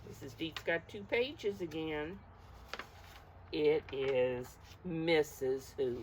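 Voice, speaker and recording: Low-pitched woman reading slowly to preschoolers. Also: a little mellow, slight background noise. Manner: encouraging, simple explanations with questions to the listeners. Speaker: preschool teacher reading aloud. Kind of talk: interactive picture-book read-aloud for young children.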